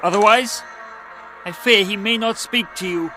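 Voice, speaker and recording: Strong music sits behind a man acting as an eager, courteous member of court. His voice is very high for a man, and he speaks earnestly and politely in formal period diction, as in an audio drama. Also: normal speed, lightly acted. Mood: sympathetic, advising. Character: eager, courteous acquaintance at the Archbishop's court, earnest well-wisher.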